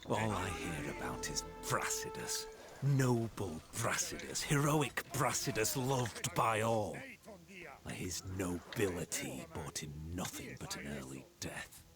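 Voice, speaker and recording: Deep, ruthless Spartan admiral. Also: male voice, sing-song, slow, over music, full character voice. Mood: bitter, scornful. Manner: theatrical, commanding, contemptuous. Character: ruthless, bitter, glory-hungry male Spartan admiral. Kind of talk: dramatic video game acting.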